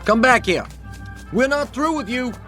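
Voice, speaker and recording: A man's voice: very high voice, animated, a little slow, over music. A man playing an irritable, work-absorbed father in a classic television drama, brusque and exasperated. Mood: angry.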